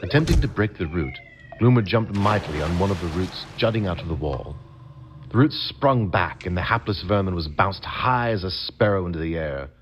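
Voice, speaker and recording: A man reading scripted storybook narration for an audio adventure game in a measured, dramatic storytelling manner. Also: low-pitched, lively intonation, noisy background.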